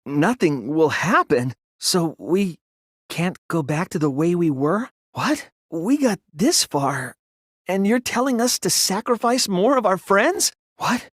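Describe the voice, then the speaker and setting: A man voicing an earnest, sensitive young student detective in an anime video game, with intense, emotional, sometimes halting delivery. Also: high-pitched, animated, a bit bright, dry recording, studio quality, exaggerated voice. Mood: shocked, disbelieving. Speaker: voice actor.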